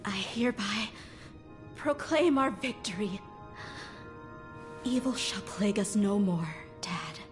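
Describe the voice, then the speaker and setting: A woman's voice: mid-range voice, animated, slow pace, pauses often. An actress playing an earnest, grieving young woman in a game, speaking in a subdued, wistful way. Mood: solemn, grieving. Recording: game voice under clear music.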